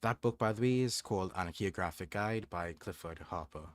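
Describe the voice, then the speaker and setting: Low-voiced man musing on a livestream. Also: a little fast, dry recording. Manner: thoughtful, hesitant, many restarts and ums. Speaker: livestream host. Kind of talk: casual livestream chat and reading aloud.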